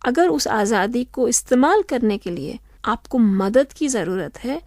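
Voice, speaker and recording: A woman speaking as an expert guest on a radio interview, calm and methodical as she lays out her points. Her voice sits a little high, and the studio sound is dry and close. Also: dry recording. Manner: measured, explanatory, steady.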